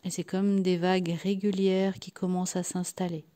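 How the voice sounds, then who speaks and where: A woman leading a guided yoga nidra relaxation in a soft, sometimes breathy voice, soothing and unhurried, leaving long pauses between short phrases. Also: on the low side, flat delivery. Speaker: guided meditation and sophrology practitioner.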